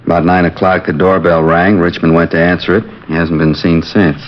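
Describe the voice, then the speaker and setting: A man playing a steady, practical homicide captain in a 1950s radio drama, with a measured, plain-spoken, businesslike manner. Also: deep voice, lightly acted. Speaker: actor in a police radio drama. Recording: muffled vintage 1950s radio recording.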